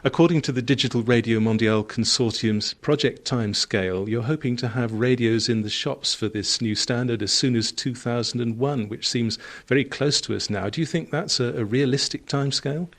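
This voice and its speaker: A man's voice: medium pitch, brisk, hardly any pauses. A man presenting a radio programme, interviewing experts in a conversational way.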